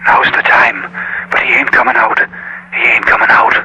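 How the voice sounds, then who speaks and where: An actor playing a policeman's fearful, nagging inner voice in a 1950s radio drama, often in a breathy half-whisper. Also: mid-range voice, flat delivery, moderate pace, pushed and bright, lo-fi sound, heavily acted. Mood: ominous, goading. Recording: faintly hissy old radio broadcast.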